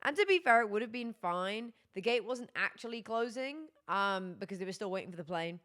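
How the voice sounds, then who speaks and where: A tired young woman streaming, chatting casually with her chat, her voice going hoarse. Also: medium pitch, expressive, brisk, a bit bright. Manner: rambling, chatty, many fillers.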